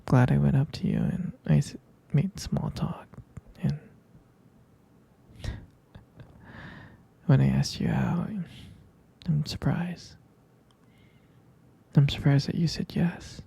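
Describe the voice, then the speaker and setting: A man playing a tender, doting boyfriend in an ASMR roleplay, murmuring slowly and affectionately in a breathy, husky whisper. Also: fairly high pitch, fairly even intonation, warm tone, exaggerated voice. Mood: soft, teasing. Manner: slow, hesitant, affectionate murmuring with pet names. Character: tender, doting vampire-romance boyfriend soothing partner to sleep.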